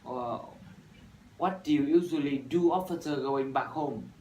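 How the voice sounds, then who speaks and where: A twenty-one-year-old university student from Vietnam with a Vietnamese accent, reciting an English practice dialogue in a measured, rehearsed way with small fillers. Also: male voice, mid-range voice, warm tone. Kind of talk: scripted classroom dialogue practice. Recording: echoey room from far off, faint noise.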